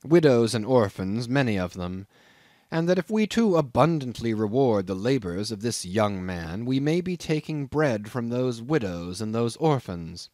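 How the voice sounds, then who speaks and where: A man's voice: mid-range voice, expressive, unhurried, darkish tone, no room sound, studio quality, natural voice. A man voicing a stingy, pious old Quaker ship owner in a storybook reading, preaching steadily and solemnly. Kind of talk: audiobook character acting.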